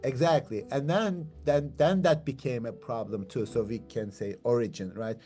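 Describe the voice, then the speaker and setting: A man's voice: mid-range voice, normal speed, warm tone. A middle-aged man, a philosophy professor from Turkey whose first language is Turkish, thinking aloud in an interview and often rephrasing. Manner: thoughtful, searching, restarts and rephrases. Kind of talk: reflective interview conversation. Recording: faint guitar music underneath.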